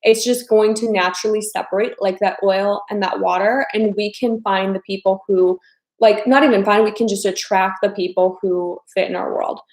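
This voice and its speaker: A woman in her thirties from the American Midwest talks casually to a live-stream audience as a dating coach. Her talk is chatty and loose, full of fillers and repeated phrases, at a middle pitch. She sounds like she is in a room with a noticeable echo. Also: fairly even intonation, moderate pace, a little mellow. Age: 30s.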